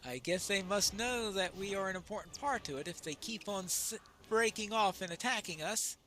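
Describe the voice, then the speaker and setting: A man's voice: high voice, moderate pace, pushed and bright. A man giving casual commentary as he plays a game, musing mildly to himself. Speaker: gamer narrating a playthrough.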